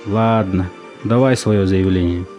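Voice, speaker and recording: An actor playing a gruff, weary military recruitment commander in an audio drama, curt and stern as he questions young volunteers. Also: low voice, steady tone, measured pace, dark tone. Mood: reluctant. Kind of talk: stage-style audio drama acting. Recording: music under the voice.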